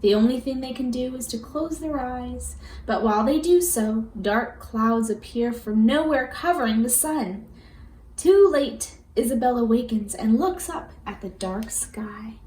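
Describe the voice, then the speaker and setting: A woman with a fairly high voice reads a children's storybook aloud. Her delivery is expressive and deliberate, shaped for young listeners. The recording has a roomy echo and some faint background noise. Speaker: storybook reader. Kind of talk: children's read-aloud narration.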